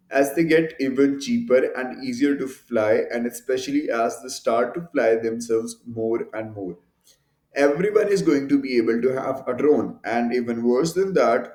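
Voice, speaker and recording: Male channel host, medium pitch, halting article read-through with self-corrections, recorded in a very echoey room. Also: darkish tone. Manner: halting, stumbles over words and corrects himself. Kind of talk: reading an article aloud.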